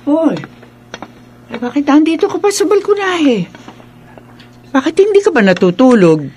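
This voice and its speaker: A woman's voice: sits high, very animated, moderate pace, a little mellow, slight background noise. A fussing concerned mother. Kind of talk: radio drama acting.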